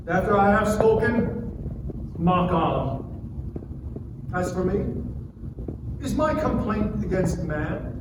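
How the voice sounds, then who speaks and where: A man in a dramatic scripture reading, playing a wronged sufferer who pleads and protests in an impassioned, declamatory way. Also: high-pitched. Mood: indignant. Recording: very echoey, far-off mic, noisy live room.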